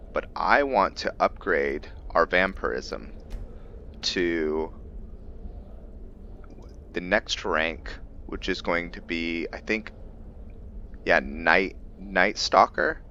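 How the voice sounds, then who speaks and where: A man commenting casually while he plays a video game. His voice is low yet bright and forceful in tone. He talks in a hesitant, rambling way, full of fillers. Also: dull audio, a little hiss or hum. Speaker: gameplay narrator. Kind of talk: casual let's-play commentary.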